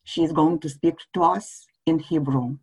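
A woman's voice: low voice, fairly even intonation, measured pace, a little mellow, breathy edge, rough recording. A middle-aged woman with a Russian accent, a native Russian speaker, telling her own story in an interview in an earnest, reflective way with small grammar slips. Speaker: guest. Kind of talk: personal storytelling.

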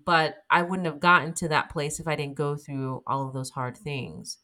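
A woman's voice: low-pitched, little pitch movement, normal speed, pushed and bright. A woman guest talking in a candid, emphatic way in a casual interview, swearing freely.

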